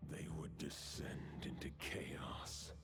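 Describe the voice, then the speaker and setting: An actor voicing an ancient, solemn wolf spirit in a video game, with a gravelly, breathy voice and formal, archaic phrasing. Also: male voice, a bass voice, steady tone, takes it slowly, music in the background, exaggerated voice. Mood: solemn, commanding. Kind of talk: scripted video game voice acting.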